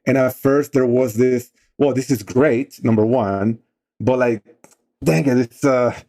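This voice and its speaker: A man in his forties from the Dominican Republic with a Dominican Spanish accent, telling his story as an interview guest in an animated way. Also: mid-range voice, a little slow. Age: 40s. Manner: animated, frequent restarts and laughs. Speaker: guest, mentorship program co-founder.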